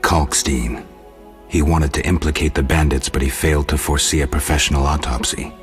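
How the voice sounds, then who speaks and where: A man voicing a stoic, gruff monster hunter in a video game, terse, deadpan and sardonic. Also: very deep voice, fairly quick, soft background music. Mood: analytical, deadpan.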